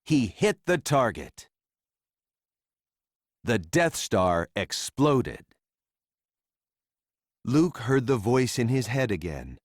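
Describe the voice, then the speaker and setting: A man narrating a children's read-along story in a simple, deliberate storytelling manner for young readers. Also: medium pitch, very animated, no room sound, studio quality.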